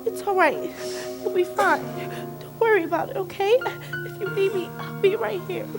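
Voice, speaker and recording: A woman's voice: extremely high pitch, normal speed. A woman acting a protective teenage best friend in an emotional stage drama, imploring and emphatic. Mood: concerned, soothing. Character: earnest, protective teenage best friend, a girl who survived similar hurt. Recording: faint music behind the voice.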